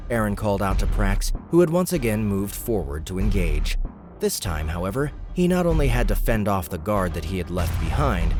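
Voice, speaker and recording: Deep-voiced male audiobook narrator over music. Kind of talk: scripted fantasy fiction narration.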